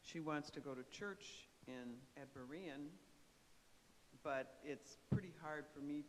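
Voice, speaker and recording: A man's voice: fairly high pitch, unhurried. Hesitant man asking from the audience, hissy hall. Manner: hesitant, searching for words. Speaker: audience member asking a question. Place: church meeting hall. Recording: echoey hall with faint hiss.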